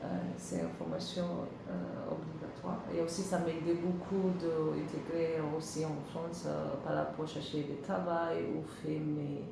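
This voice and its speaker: A woman's voice: sits low, flat delivery, normal speed, vocal fry, hardly any pauses. A middle-aged woman from Kenya with a Kenyan accent, a native English speaker answering job interview questions in hesitant French, searching for words with many fillers. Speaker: job seeker. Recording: very echoey room with noise.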